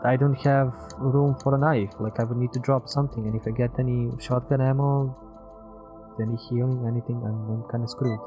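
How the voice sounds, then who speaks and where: A man's voice: mid-range voice, fairly even intonation, brisk, dull audio. Man muttering through game commentary over music. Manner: muttering to himself, swearing, thinking aloud. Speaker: video game streamer. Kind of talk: casual live gameplay commentary.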